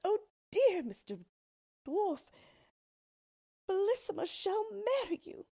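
A woman voicing a frightened queen and mother who pleads in a high, crying, desperate voice. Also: big pitch swings, quick pace, mellow, slightly rough, exaggerated voice. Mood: pleading.

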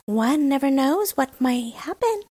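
Storybook robber girl, blunt and wild, high pitched, as a woman puts her on. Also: lively intonation, a little slow. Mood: brash. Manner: blunt, brash, high and loud.

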